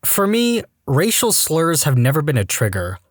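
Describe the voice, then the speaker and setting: Lively male storytime narrator. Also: fairly high pitch, normal speed, dry recording, clean studio sound. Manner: lively, wry reading. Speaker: narrator reading posts.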